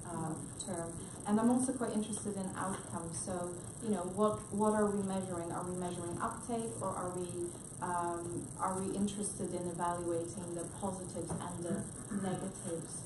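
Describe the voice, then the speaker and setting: A woman speaking as a researcher on a panel, thoughtful and hesitant, with frequent restarts. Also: on the low side. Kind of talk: panel discussion remarks. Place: conference room, panel event. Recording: echoey room with noise.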